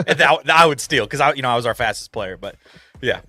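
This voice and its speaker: A man's voice: mid-range voice, animated, quick pace, bright, forceful tone, dry recording. A man co-hosting a casual sports chat, talking emphatically and filling his speech with lots of "like"s. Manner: emphatic, filler-heavy with many "like"s. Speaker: co-host.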